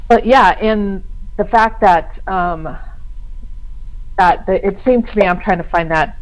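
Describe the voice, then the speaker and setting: A woman guest in a casual discussion, talking conversationally and pausing to search for her words. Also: fairly low pitch, rough recording. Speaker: guest panelist.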